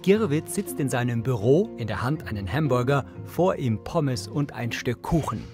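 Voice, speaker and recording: A male narrator describes screen action in a measured, matter-of-fact way. His pitch is middling for a man. Music plays behind him. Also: lively intonation. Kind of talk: scripted narration of TV scenes, present tense.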